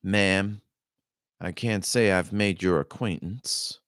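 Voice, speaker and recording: A guarded woman fighter from a storybook , read by a man. The delivery is polite, clipped and wary, in a very low register with a dry, close sound. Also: slow, a bit bright, no echo. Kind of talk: storybook character reading.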